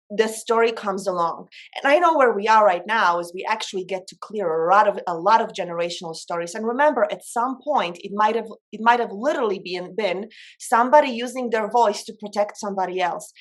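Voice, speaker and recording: A woman from Poland, speaking as a coach in an informal, emphatic motivational talk on self-development. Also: medium pitch.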